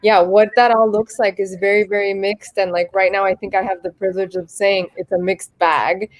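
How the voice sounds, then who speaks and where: A Cuban-American woman speaks as a panelist over a video call. She is reflective and unhurried, and she uses plenty of fillers as she thinks aloud. Her voice sits at a middle pitch. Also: little pitch movement, poor audio. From United States, Cuban family. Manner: reflective, thoughtful, full of fillers. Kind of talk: casual panel discussion.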